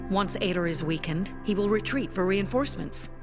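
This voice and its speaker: An actress playing a determined young rebel leader in a video game, firm and commanding. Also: female voice, mid-range voice, brisk, close to an everyday voice. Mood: resolute, commanding. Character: determined young female rebel leader giving battle orders. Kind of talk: video game drama acting. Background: music.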